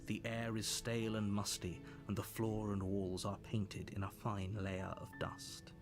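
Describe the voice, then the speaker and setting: A stern game narrator with a lowish, measured voice, dry and commanding. Clear game music runs under every line. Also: male voice, flat delivery. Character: stern male narrator voice guiding the hero.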